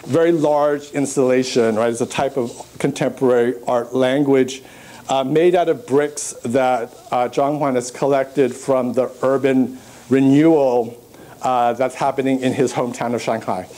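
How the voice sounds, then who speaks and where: A man born in Burma giving an academic conference talk on art, pausing often with uh and um as he walks through his slides. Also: mid-range voice, steady tone, warm tone. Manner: halting, many uh and um fillers, pointing to slides. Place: lecture room at a conference.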